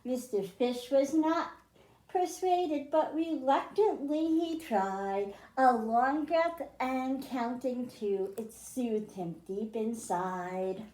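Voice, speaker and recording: A woman storytime host reads to young children at a slow, deliberate pace. Her voice is high and mellow, with expressive phrasing suited to a picture book. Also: reverberant, poor audio.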